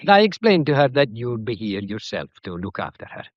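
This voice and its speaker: An actor playing a genial but firm, shrewd Scottish police inspector in a radio drama, folksy, unhurried and authoritative. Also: male voice, mid-range voice, big pitch swings, no room sound, muffled recording, close to an everyday voice. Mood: polite, firm.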